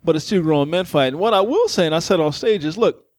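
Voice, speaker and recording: A man's voice: on the high side, quick pace, no echo. A man telling a story in loose, profane banter with friends, laughing often as he talks. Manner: animated, laughs often, swears freely.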